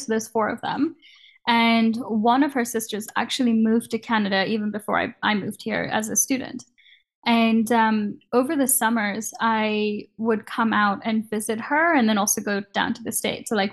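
A woman's voice: fairly high pitch, gravelly. A woman in her thirties from Kenya, chatting casually as an interview guest and laughing easily.

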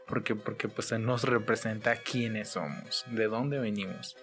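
A man from Mexico answering interview questions conversationally, halting and repeating his phrases as he goes. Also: fairly low pitch, fairly even intonation, a bit bright, soft background music. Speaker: interviewed activist.

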